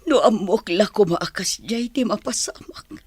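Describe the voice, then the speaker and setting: A woman's voice: mid-range voice, measured pace, breathy edge, no room sound. A woman acting an emotional family matriarch in an Ilocano radio drama. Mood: earnest, subdued.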